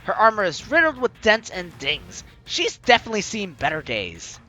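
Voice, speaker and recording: A man's voice: high voice, normal speed, lo-fi sound. A man hosting a let's play, reading a visual novel story aloud in a theatrical, dramatic way. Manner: theatrical, animated delivery. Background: game music.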